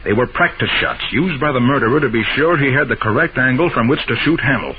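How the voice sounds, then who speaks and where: A man playing a confident, sharp-minded master detective in an old-time radio mystery, explaining and questioning in an assured, methodical way. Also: mid-range voice, expressive, quick pace, close to an everyday voice. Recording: dull, noisy old radio broadcast recording.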